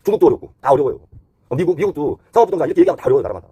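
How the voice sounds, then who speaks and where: Guy doing unscripted explainer commentary, mellow tone but super dry close sound, kinda blunt and conversational. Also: a little high, expressive, racing, breathy edge, no room sound. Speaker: host giving economic commentary.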